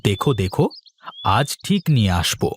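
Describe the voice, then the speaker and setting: A man's voice: lowish voice, very animated, normal speed, a little hiss or hum. A man voicing a mild, absent-minded village husband in a cartoon, with a placating manner. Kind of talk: cartoon voice acting.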